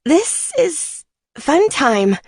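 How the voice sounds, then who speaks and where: A weary, wry young biker mother, voiced by a female audiobook reader. Her pitch is lifted, and the sound is dry and close. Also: sing-song, drawn-out words, darkish tone, no echo, full character voice.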